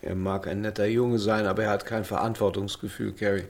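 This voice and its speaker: A man's voice: lowish voice, flat delivery, fairly quick, vocal fry. An actor playing a lonely, tipsy middle-aged father in a radio drama, wry and bitter, with a rambling, self-mocking way of talking. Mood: fatherly prodding. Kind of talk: naturalistic radio drama acting.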